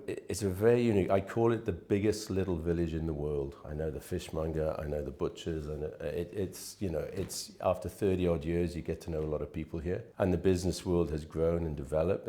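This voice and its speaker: A middle-aged man from Wales, a real estate manager, answering interview questions in a relaxed, anecdotal way with frequent restarts. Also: deep voice.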